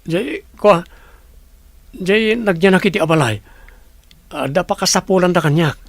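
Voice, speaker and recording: Fairly high-voiced, evasive working man. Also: animated, a little slow, dry recording, clean studio sound, full character voice. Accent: Ilocano. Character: evasive young male construction worker. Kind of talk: Ilocano radio drama acting.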